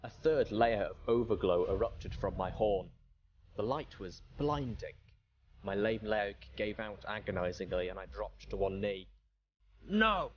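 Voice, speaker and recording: A man's voice: fairly low pitch, unhurried, muffled recording, slight background noise. A man reading a story aloud as a dramatic narrator. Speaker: audiobook reader.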